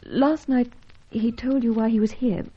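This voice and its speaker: An actress playing a gentle, helpless young woman in an old-time radio adventure serial, earnest, tremulous and polite. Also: fairly high pitch, fairly even intonation, a little slow, breathy edge. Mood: earnest, wistful. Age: young adult. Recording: dull, lo-fi radio serial recording.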